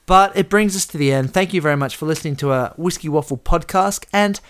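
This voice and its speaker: A man co-hosting a casual podcast, chatty and rambling, his voice a little croaky. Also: a little high, quick pace, fairly bright tone. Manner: chatty, rambling with restarts and laughs. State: slightly croaky voice. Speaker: podcast co-host. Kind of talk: casual chat.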